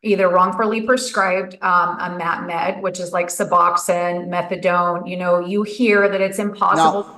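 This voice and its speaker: A woman's voice: on the low side, flat delivery, moderate pace, few pauses, a bit bright, echoey room, low-quality recording. A woman hosting a talk show, speaking earnestly and somewhat hesitantly, with many fillers. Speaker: host. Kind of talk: casual podcast talk.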